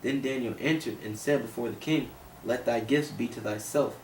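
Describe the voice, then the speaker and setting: A man's voice: mid-range voice, normal speed, a little mellow. A man reading scripture aloud in a small group discussion, rising in emphasis on key phrases. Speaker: scripture reader. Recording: echoey room, distant mic, faint hiss.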